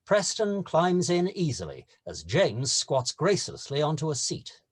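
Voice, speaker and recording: Husky, animated male screenplay narrator. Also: on the high side, unhurried. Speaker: narrator reading stage directions. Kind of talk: scripted narration.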